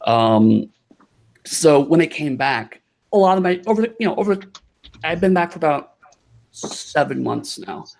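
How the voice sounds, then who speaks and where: A man talking as a podcast guest in casual chat, halting and rambling with lots of restarts and fillers. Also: fairly high pitch, animated, vocal fry, a little mellow.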